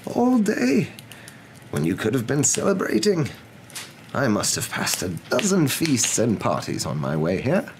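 A man voicing a gentle, calm old wizard with a courteous, unhurried and whimsical way of talking, in a storybook reading. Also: on the low side, voice pushed up. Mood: amused. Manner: unhurried, courteous, mildly amused. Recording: clear background noise.

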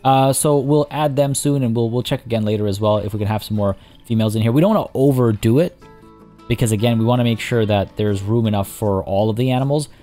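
A hoarse young man casually commenting as he plays a zoo-building game, chatty and rambling. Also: mid-range voice, fast talker, mellow, soft background music. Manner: rambling, chatty, many restarts and fillers. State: hoarse, losing his voice. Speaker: let's play host.

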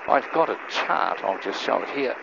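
An older man, a pilot giving relaxed cockpit commentary through a headset mic, with many fillers. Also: mid-range voice, normal speed, vocal fry, heavy background noise. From New Zealand. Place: small aircraft cockpit in flight. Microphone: aviation headset boom mic. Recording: muffled intercom audio with engine drone.

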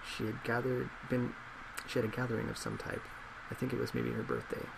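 Guy in his 20s doing soft asmr psychologist roleplay, super gravelly fry, loud laptop fan whirring. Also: medium pitch, flat delivery, fairly quick, background noise. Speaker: psychologist in a role play. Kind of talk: soft-spoken ASMR role play. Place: small office, indoors.

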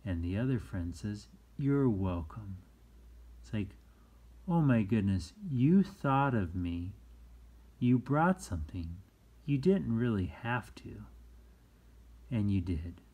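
A man who teaches, reading a children's book aloud and explaining it gently, acting out phrases expressively. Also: fairly low pitch, very animated, slow, pauses often, breathy edge. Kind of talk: children's read-aloud with commentary.

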